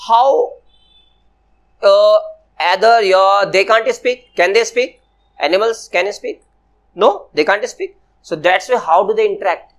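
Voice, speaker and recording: 男性教师，印度英语口音，在教室里讲课，声音偏高. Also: male voice, high voice, a bit bright. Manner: slow, repetitive, often asks "Clear?". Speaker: personality development teacher. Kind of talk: classroom lecture to students.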